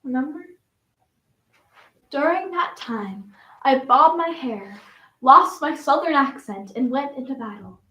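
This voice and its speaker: A flamboyant Southern belle flapper, acted by a woman in a high-pitched voice, holding forth in a dramatic stage monologue. Her Southern carries a wistful, coquettish theatricality. The sound is distant from the microphone, with plenty of room echo. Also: lively intonation, measured pace, pauses often, sharp tone, heavily acted. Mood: coy, teasing. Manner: dramatic, swinging from coy reminiscence to defiant outbursts.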